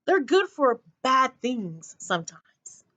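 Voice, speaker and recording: Woman acting elderly lady of the stage, high voice, swooping intonation, dramatic, storybook read-aloud. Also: big pitch swings, a bit bright, heavily acted. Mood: advising. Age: older. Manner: theatrical, drawn-out delivery. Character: dramatic, elderly retired actress, fortune-telling and triumphant.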